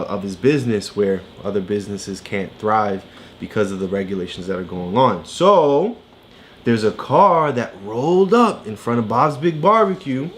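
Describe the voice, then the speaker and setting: A woman co-hosting a casual read-aloud for a child, explaining in an encouraging way with frequent check-in questions. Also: rumbling low. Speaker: parent co-host of a read-aloud. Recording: faint background noise.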